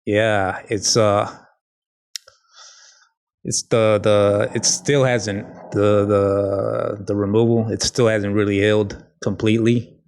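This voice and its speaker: Slow, halting low-voiced man. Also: level tone, a little mellow. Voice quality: slight lisp. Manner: halting, rambling, informal. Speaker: podcast host. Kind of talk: casual solo podcast monologue.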